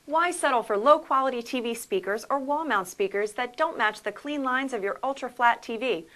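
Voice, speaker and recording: Female promo narrator, somewhat high-pitched, polished and upbeat, scripted sales read. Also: normal speed, fairly bright tone. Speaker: product video narrator.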